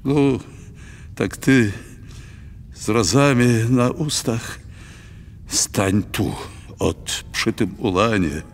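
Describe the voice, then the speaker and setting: An actor playing a proud, dying grey-haired major in a radio drama, pleading in halting, broken phrases. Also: male voice, on the low side, animated, a little hiss or hum, exaggerated voice. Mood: strained, resolute. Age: older. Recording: music behind the voice.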